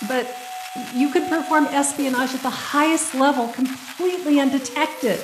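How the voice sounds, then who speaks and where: Radio drama special agent, woman acting: earnest, businesslike, fairly high voice, reverberant room with noticeable noise. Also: steady tone, normal speed, lightly acted. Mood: insistent. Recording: echoey room with clear background noise.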